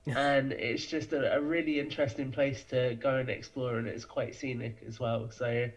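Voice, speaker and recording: A man's voice: mid-range voice, little pitch movement, moderate pace. A young British man chatting casually and enthusiastically as a podcast guest, over dull, lo-fi audio. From United Kingdom. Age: young adult. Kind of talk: casual chat.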